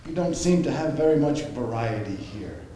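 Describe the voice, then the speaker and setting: A man's voice: mid-range voice, animated, moderate pace, dark tone, close to an everyday voice. A man on stage playing a breezy, officious placement agent in a play. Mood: brisk, officious. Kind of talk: live stage play comedy dialogue. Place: theatre stage, recorded from a distance. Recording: very echoey hall, faint noise.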